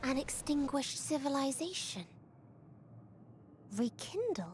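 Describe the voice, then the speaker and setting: A woman voicing a melancholy, otherworldly girl in a game, solemn, soft and deliberate. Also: high-pitched, big pitch swings, a little hiss or hum, exaggerated voice. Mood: wondering. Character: melancholy otherworldly girl preaching salvation through nonexistence.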